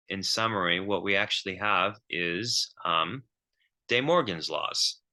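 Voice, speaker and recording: Low bright-voiced man lecturing on math. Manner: thinking aloud with many ums and restarts.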